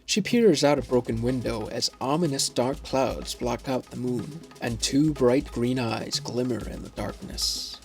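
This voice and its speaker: A man's voice: mid-range voice, a little slow, vocal fry, hardly any pauses, darkish tone, faint music behind. A man reading scripted scene descriptions as the narrator of an audio drama.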